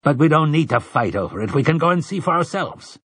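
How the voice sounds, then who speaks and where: A man's voice: a little high, big pitch swings, brisk, fairly bright tone, husky, no room sound, studio quality, pitched above the performer's own voice, heavily acted. A man playing a commanding, weathered old priest. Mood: commanding, assured. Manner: commanding, oratorical, rustic archaic turns of phrase. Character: stern, weathered old priest rallying frightened villagers. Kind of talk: audiobook character dialogue.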